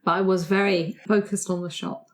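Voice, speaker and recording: A woman's voice: mid-range voice, flat delivery, fairly quick. A woman chatting casually as a player in a tabletop game.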